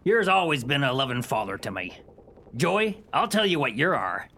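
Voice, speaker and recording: A man playing a sly, bloodthirsty pirate henchman in a comic radio play, mock-polite in rough pirate grammar. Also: mid-range voice, very animated, moderate pace, pushed and bright, slight background noise. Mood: sly menace.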